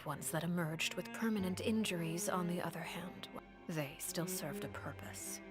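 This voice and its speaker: A lowish, composed and commanding woman of a fantasy game, voiced by an actress. She narrates coldly and deliberately, with a breathy edge to her phrases. Music plays clearly behind her. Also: lightly acted. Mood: composed, grim. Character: cold, composed, commanding woman, a secretive fantasy villain-leader. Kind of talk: video game dramatic storytelling.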